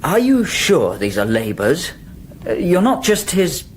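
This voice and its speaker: An actor playing a humble, bewildered young hero in a comic fantasy radio play, speaking with naive, formal mock-heroic earnestness. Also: male voice, a little high, huge pitch swings, a little slow, a little hiss or hum. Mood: doubtful.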